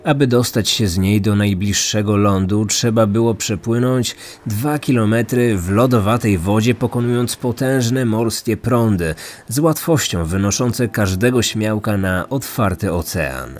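A man narrating a scripted true-crime podcast as its host, measured and serious. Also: fairly low pitch. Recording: music bed under voice.

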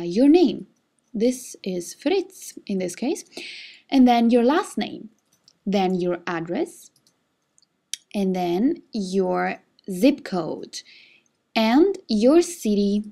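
A young singer-songwriter who grew up speaking Italian talks casually into her webcam in a room at home. Her voice sits at a middle pitch for a woman. She explains things step by step and fills pauses with plenty of ums and uhs. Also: vocal fry, a little mellow. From Switzerland. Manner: chatty, explaining step by step with many fillers. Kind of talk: casual vlog with screen walkthrough. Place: indoor room, webcam video.

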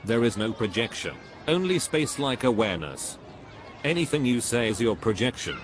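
A man's voice: mid-range voice, very animated, normal speed, a little hiss or hum, close to an everyday voice. A man playing a smug, joyless spiritual know-it-all who preaches in a pompous, dismissive way in scripted cartoon dialogue. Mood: dismissive, pedantic.

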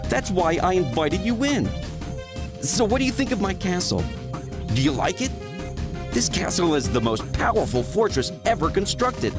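An actor playing a scheming monkey villain in a video game, with a smug, taunting, theatrical delivery. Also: male voice, high voice, sing-song, moderate pace, over music. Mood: gloating, scolding. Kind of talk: cartoonish video game voice acting.

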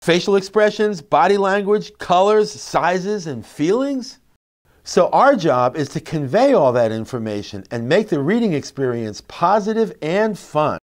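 A middle-aged man presenting instructional tips to parents in an expressive, emphatic, clearly phrased way. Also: sits high, measured pace. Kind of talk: instructional narration to parents, with expressive read-aloud demonstrations. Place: indoor classroom. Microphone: lapel mic.

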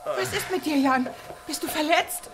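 An actress playing a spirited young female companion in a radio play, expressive and outspoken. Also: high-pitched, normal speed, close to an everyday voice. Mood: alarmed. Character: spirited young woman, hero's anxious companion.

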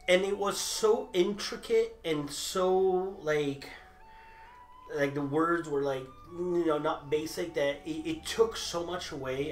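A man's voice: a little high, a little hiss or hum. A man in his thirties whose first language is Italian, arguing in a casual debate with lots of restarts. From grew up overseas, Italian at home. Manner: animated, gestures a lot, many restarts. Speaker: debater for the Harry Potter side. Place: small indoor room full of movie memorabilia. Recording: echoey room.

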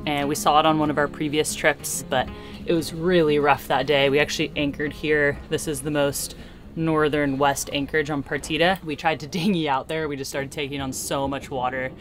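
A woman in her thirties talks to camera in a relaxed explanatory vlog style. She is outdoors in a boat cockpit, with music clearly audible behind her voice. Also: low-pitched, normal speed. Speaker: vlog host. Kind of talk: casual explanatory vlog chat. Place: outdoors in a sailboat cockpit under a canopy.

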